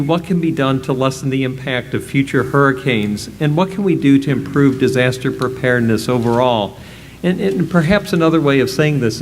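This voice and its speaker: A man moderating a panel discussion, posing questions in a measured, polite and somewhat hesitant way into a panel microphone. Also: medium pitch, a little hiss or hum. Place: public event hall.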